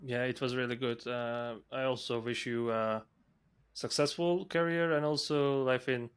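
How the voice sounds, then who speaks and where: A male co-host chimes in with short, polite remarks. His mid-pitched voice is smooth and clear. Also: steady tone, a little slow. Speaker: podcast co-host. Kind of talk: conversational interview.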